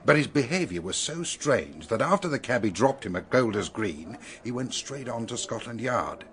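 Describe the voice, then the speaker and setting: A no-nonsense British police detective in a radio drama. His voice is medium in pitch, businesslike and clipped. He speaks bluntly, like an official used to questioning. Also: very animated, normal speed, a bit bright.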